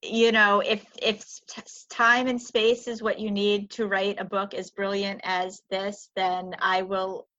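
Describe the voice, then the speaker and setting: An enthusiastic woman hosts a library podcast, chatting casually and gushing between frequent fillers. Her voice is bright and forceful at a middling pitch. The recording is dull and lo-fi. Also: little pitch movement, measured pace, few pauses. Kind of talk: casual chat. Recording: dull lo-fi audio.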